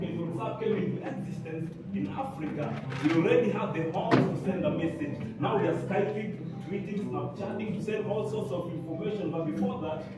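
A young Kenyan man with a Kenyan accent performing spoken word poetry with a rhythmic, declamatory delivery to a seated audience. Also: sits high. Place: indoor community hall with seated audience. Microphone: phone camera from the audience, far off. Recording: echoey hall from far off, music behind.

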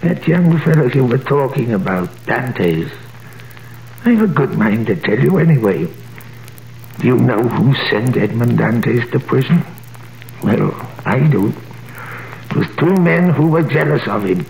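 An actor playing a bitter, gossipy older tailor in a 1930s radio drama, with a gravelly voice and a scoffing manner, heard as an echoey vintage broadcast. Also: male voice, medium pitch, expressive, a little slow, a little mellow, a little hiss or hum.

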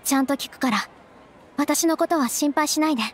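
Woman acting as a gentle, high-voiced young noblewoman. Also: fairly quick, a little hiss or hum, close to an everyday voice. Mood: concerned. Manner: polite, soft feminine phrasing. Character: gentle, refined, caring young noble lady. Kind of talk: Japanese game voice acting.

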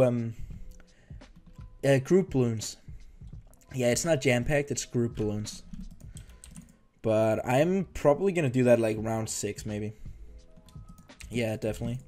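A young man from Denmark, not a native English speaker, chatting excitedly through casual gameplay commentary. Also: mid-range voice. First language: Danish. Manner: excitable, chatty, trails off and restarts. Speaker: gaming YouTuber.

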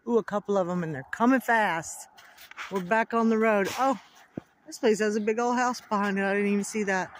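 A woman's voice: medium pitch, a little fast, noisy background. A chatty woman talking to her viewers while she films a roadside walk in winter. She keeps it casual and friendly, saying y'all a lot. Her phone picks her up outdoors beside a country road. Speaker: travel vlogger. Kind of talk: casual narrated road trip vlog. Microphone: phone camera held by the filmer.

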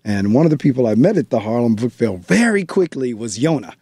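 A man's voice: medium pitch, sing-song, a little fast, warm tone, husky, dry recording. A man hosting a radio book show, chatting casually and speaking straight to his listeners from the studio. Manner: chatty, conversational, addresses listeners directly. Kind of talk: radio interview.

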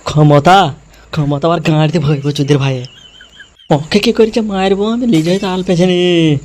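A man's voice: fairly high pitch, fairly even intonation, normal speed, darkish tone, slight background noise. A man voicing a foul-mouthed, vengeful gang schemer in a comedy cartoon, with crude, threatening delivery. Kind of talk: comedy cartoon character dialogue. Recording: music behind the voice.

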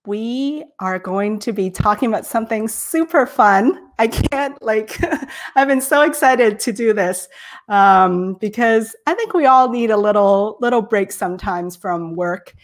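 A woman's voice: medium pitch, unhurried. A middle-aged woman hosting an interview show over a video call, bubbly and enthusiastic, laughing often. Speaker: show host. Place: home office, indoors.

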